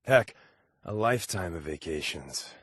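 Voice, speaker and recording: A man's voice: deep voice, lively intonation, a little slow, fairly bright tone, slightly rough, no echo, studio quality, full character voice. A man playing a wry, wisecracking undercover agent in a video game, with a casual, sardonic delivery. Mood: sincere. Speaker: voice actor playing a game companion. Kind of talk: video game character dialogue.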